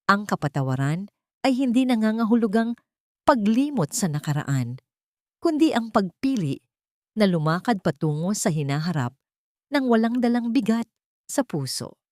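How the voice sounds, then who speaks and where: A woman narrating a scripted dramatic audiobook in a solemn, sentimental and measured way. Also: medium pitch, sing-song, no echo, studio quality. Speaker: storyteller, narrator.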